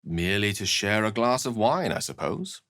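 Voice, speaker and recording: An actor playing a bookish, genteel wizard in a video game, articulate and measured, with a wry, theatrical way of talking. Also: male voice, on the low side, big pitch swings, a bit bright, no echo, clean studio sound. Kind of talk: video game voice acting, narration and dialogue.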